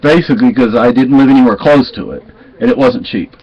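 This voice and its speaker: Southern-accented Texan man, casual vlogger, folksy and meandering, mid-pitched, muffled lo-fi sound. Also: quick pace, breathy edge. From Texas. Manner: rambling, folksy, with many restarts. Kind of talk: casual storytelling chat. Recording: dull lo-fi sound.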